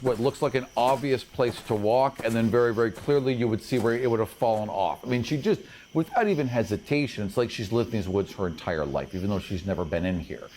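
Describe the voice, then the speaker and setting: A man working as game master, narrating an improvised fantasy scene in an animated way with vocal sound effects and repeated words. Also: medium pitch. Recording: background noise behind the voice.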